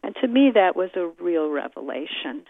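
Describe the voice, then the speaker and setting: A middle-aged American woman giving reflective interview answers in a measured, deliberate way, pausing often as she thinks. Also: on the low side, big pitch swings, no room sound. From United States. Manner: measured, deliberate, with frequent pauses. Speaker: interviewee, concert pianist. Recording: muffled narrow-band phone or radio-like sound.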